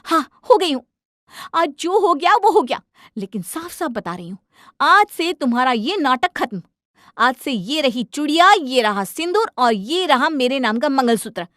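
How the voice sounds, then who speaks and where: Woman playing a feisty, high-voiced young wife. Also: big pitch swings, moderate pace, no echo, clean studio sound. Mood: angry, commanding. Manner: sharp-tongued, insistent. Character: feisty, indignant young wife demanding equality. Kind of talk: dramatised domestic cartoon story.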